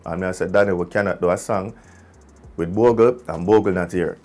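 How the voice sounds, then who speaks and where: Deep-voiced Jamaican man telling stories. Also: fairly even intonation, a little fast, a little mellow. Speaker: musician, singer in a group. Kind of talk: casual storytelling interview.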